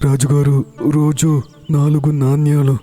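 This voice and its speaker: A man's voice: fairly high pitch, monotone, measured pace, mellow, natural voice. An actor playing an earnest man in a children's cartoon fairy tale. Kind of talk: children's cartoon story dialogue. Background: background music.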